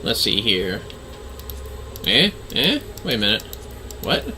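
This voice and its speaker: A man's voice: fairly low pitch, very animated, takes it slowly, bright, forceful tone, a little hiss or hum. A man giving casual livestream commentary on a game, with playful, theatrical asides.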